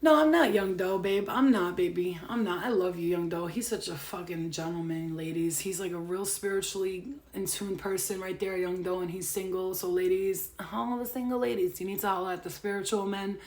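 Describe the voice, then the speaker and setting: A woman in her late thirties, a tarot reader and spiritual healer, chatting casually to live-stream viewers in a brash, profane, animated way that swings between loud rants and soft, earnest asides. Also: mid-range voice, a little fast, few pauses. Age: 30s.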